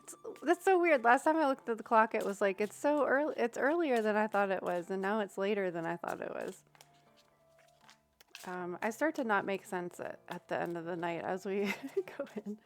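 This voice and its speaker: A woman's voice: medium pitch, lively intonation, moderate pace, soft background music. A young woman chatting casually on a livestream, giving readings and thinking aloud with many fillers. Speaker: streamer giving tarot readings. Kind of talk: casual livestream chat with viewers.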